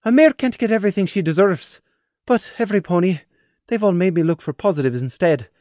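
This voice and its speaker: A man voicing a bitter, hurt young mare who snaps and shouts in a high, shrill voice. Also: animated, a little fast, no echo, studio quality. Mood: controlled.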